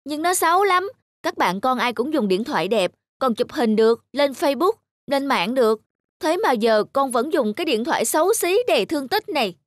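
A woman's voice: sits high, animated, moderate pace, no echo, studio quality. A woman playing an emotional teenage daughter in a cartoon, devoted to her mother but easily ashamed. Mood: whiny, complaining. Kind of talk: cartoon voice acting.

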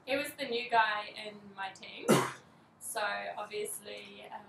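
A woman's voice: medium pitch, takes it slowly, creaky. Young New woman, cheerful, roomy. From New Zealand. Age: young adult. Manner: cheerful, soft-spoken, giggly. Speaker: vlogger. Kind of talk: casual couple vlog intro. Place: apartment by big windows, indoors. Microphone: camera mic from a few feet away. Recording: roomy, distant, noisy.

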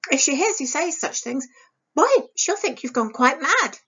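Woman voicing an anxious, high-pitched younger sister. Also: very animated, normal speed, fairly bright tone, lo-fi sound, heavily acted. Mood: worried, scolding. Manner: fretful, earnest, admonishing. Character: anxious, proper, cautioning younger sister, girlish. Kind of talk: audiobook character voice.

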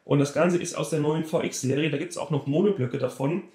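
A man gives a casual product review of car audio gear, eager and emphatic, doubling words to drive a point home. His voice sits fairly high for a man. The sound carries a noticeable room echo. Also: a little fast, a little mellow. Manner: enthusiastic, emphatic, repeats words for stress. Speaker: car audio shop presenter.

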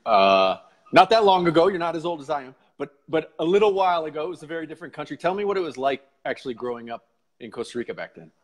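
Animated, high-voiced man hosting a live call. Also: fast talker. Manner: jokey, laughs often, animated. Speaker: podcast host. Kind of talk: casual live video interview. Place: living room at home, indoors. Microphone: earbud headset mic on a video call.

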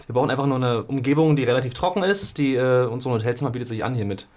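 A man's voice: mid-range voice, very fast, a little mellow. A man explaining a recording setup in a hotel room, hesitant with many fillers. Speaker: singer. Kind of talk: casual explanation.

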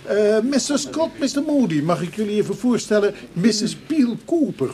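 An actor playing a polite, formal host making deliberate introductions in a Dutch radio play. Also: male voice, high-pitched, sing-song, darkish tone. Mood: courteous.

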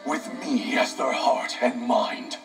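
A man's voice: medium pitch, slow, natural voice. Stern, cold game warrior played by a man. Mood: proud, stern. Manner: terse, cold, challenging. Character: stern, proud male fighting game warriors. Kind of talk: scripted fighting game dialogue. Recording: game audio with music behind. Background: game music.

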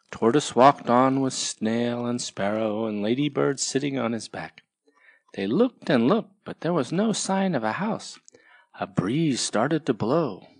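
A storyteller reading a children's picture book aloud in a measured, expressive way. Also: medium pitch, no room sound. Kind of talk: scripted children's storybook narration.

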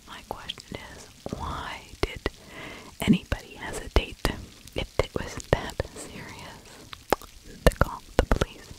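A middle-aged woman whispering true crime ASMR close to the microphone, breathy, with opinionated conversational asides. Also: low-quality recording. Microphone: close microphone with furry windscreen.

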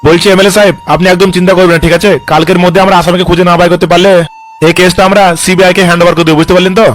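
A slippery cartoon policeman voiced by a man, high for a male voice and talking extremely fast. He is cocky, crooked and coarse, smoothly brushing off worries over faint music. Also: flat delivery, natural voice. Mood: placating. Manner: swaggering, coarse, glibly reassuring. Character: corrupt, cocky, foul-mouthed male police officer, slippery. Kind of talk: comic cartoon dub dialogue.

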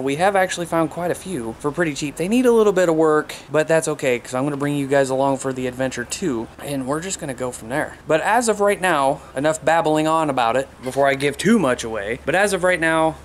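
A man in his thirties talking casually and informally, explaining things in a rambling way as a hobbyist vlogger. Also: fairly high pitch. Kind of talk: casual vlog chat. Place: outdoors in a yard, later a small room indoors. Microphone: camera at arm's length. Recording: noisy camera audio with background hum.

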